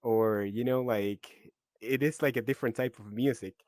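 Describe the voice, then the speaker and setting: A man's voice: mid-range voice, fairly even intonation, normal speed, a little mellow, no room sound, clean studio sound. A man with a Venezuelan accent hosting a podcast, talking in an easygoing way with many laughs and fillers. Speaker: podcast host. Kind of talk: casual chat.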